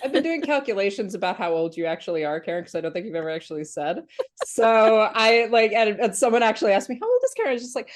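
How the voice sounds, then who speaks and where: A woman's voice: medium pitch, sing-song, fast. A woman in her thirties hosting a casual podcast, chatting with restarts and fillers and laughing often. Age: 30s. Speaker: podcast host.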